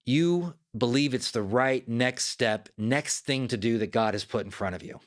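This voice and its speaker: A man's voice: medium pitch, moderate pace. A man hosting a self-help podcast, speaking in an earnest, conversational coaching manner straight to the listener. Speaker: podcast host.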